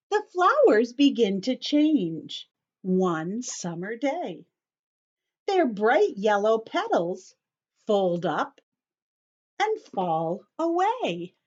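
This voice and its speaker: A woman reading a storybook aloud to young children in an animated, teacherly way, stopping to explain simply and ask questions. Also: mid-range voice, highs cut off.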